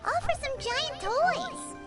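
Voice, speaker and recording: An extremely high, childlike voice for a tiny, boastful fairy guide in an anime game. The delivery is theatrical and swings widely in pitch, excitable throughout. Loud music plays underneath. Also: female voice, slow pace, full character voice. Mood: incredulous. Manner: refers to self in third person, theatrical. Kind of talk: anime video game voice acting. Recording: music playing behind the voice.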